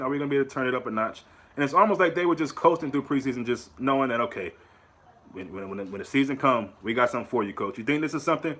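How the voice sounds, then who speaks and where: An American man talks quickly and loosely, as if ranting to his fan channel viewers. He stumbles over repeated words and restarts, then climbs into sharp rhetorical questions. His voice sits at an ordinary middle pitch for a man. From United States. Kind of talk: casual solo commentary to camera.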